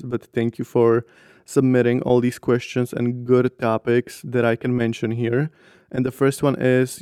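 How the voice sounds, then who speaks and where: Young Slovak man, flat and slightly hoarse. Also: medium pitch, no echo, clean studio sound. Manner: earnest, candid, uses many fillers like "like". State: slightly hoarse from long talking. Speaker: podcast host. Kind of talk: casual solo podcast talk.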